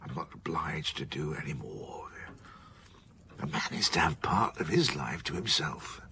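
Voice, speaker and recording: An actor playing an elderly, opinionated man of letters in a period radio drama, speaking in measured, emphatic, formal pronouncements. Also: rumbling low, big pitch swings, pushed and bright, a little hiss or hum, full character voice. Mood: ponderous, reflective.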